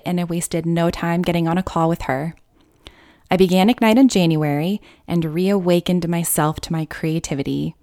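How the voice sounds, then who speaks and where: A woman hosts her own podcast, reading a bright scripted introduction before easing into relaxed, chatty conversation. Her voice sits a little low, and the recording is dry and close with no room sound. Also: no room sound. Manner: warm gushing intro read, then chatty and relaxed. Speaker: podcast host. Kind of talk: scripted intro then casual interview. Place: home, video call.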